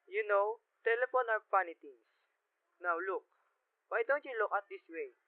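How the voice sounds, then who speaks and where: A man's voice: high-pitched, moderate pace, no room sound, lightly acted. A teenage boy acting in a radio play as a dismissive police desk sergeant who placates and talks down to his caller. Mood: placating. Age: teens, ninth-grade student. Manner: placating, condescending, brushing off worries. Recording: muffled, lo-fi amateur radio play sound.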